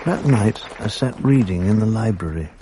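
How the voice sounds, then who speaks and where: A man narrating a radio drama in a measured, reminiscent way, looking back on his school days. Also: lowish voice, expressive, heavy background noise.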